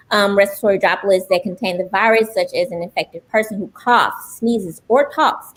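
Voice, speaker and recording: A woman trauma nurse from Memphis, explaining clearly on a panel. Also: mid-range voice, poor audio. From Memphis, Tennessee. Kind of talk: panel discussion.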